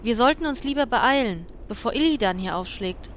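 A woman's voice: a little high, expressive, fairly quick, slight background noise, natural voice. A woman playing an anxious, urging adventurer in a fantasy parody audio drama.

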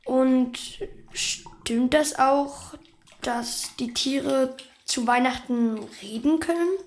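A woman's voice: high voice, extremely slow, heavily acted. A woman playing a wary, questioning young child from a rural family in a radio play. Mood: wondering.

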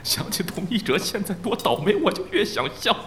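A man's voice: high voice, sing-song, brisk, background noise. A man playing a teasing, cocky, easygoing film actor in an audio drama, with a playful drawl. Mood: gleeful. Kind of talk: Chinese audio drama acting.

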